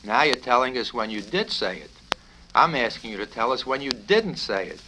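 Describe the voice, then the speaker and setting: A man reading a satirical novel, voicing a bullying, foul-mouthed military colonel who blusters and shouts his questions. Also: mid-range voice, sing-song, normal speed, pitched above the performer's own voice, close to an everyday voice. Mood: badgering. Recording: noisy 1970s vinyl record with clicks.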